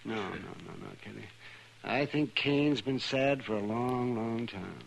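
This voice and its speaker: An actor playing a grumbling, melancholy American frontier doctor in a 1950s radio western, muttering and repeating himself. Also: male voice, on the low side, a little slow, gravelly. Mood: somber. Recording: faintly noisy vintage radio recording.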